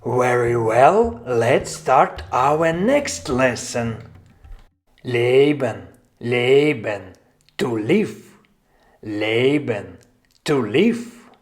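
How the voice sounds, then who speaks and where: Male language tutor for scripted beginner lessons: extremely unhurried, instructional, with animated intonation and deliberate repetition. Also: medium pitch. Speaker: language teacher. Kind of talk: scripted language lesson with repeated example phrases.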